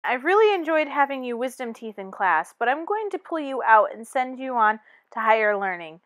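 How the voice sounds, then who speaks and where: A woman voicing a brisk, encouraging teacher of a class of teeth in a storybook, projecting instructively. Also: a little high, big pitch swings, a bit bright, no echo.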